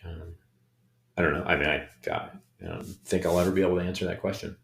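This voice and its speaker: A middle-aged man with a very low, deep voice, speaking slowly and haltingly with frequent ums. He is talking personally and reflectively in a casual podcast chat. The sound carries the echo of a bare room. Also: gravelly, a little hiss or hum. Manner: hesitant, many ums and restarts. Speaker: podcast co-host. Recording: echoey room.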